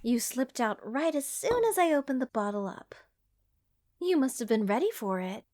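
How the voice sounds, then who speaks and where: A woman's voice: on the high side, very animated, darkish tone. A woman playing a gushing, eccentric mage besotted with cursed creatures, in a rambling, whimsical fantasy ASMR roleplay addressed to the listener. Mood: excited, gushing. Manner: slow, rambling, doting, theatrically whimsical. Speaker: voice actor.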